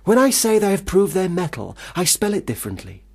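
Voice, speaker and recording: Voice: medium pitch, big pitch swings, fairly quick, husky. An actor in a radio play playing a bossy, imaginative young boy who speaks in a pompous, pedantic, declaiming way. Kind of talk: radio drama acting.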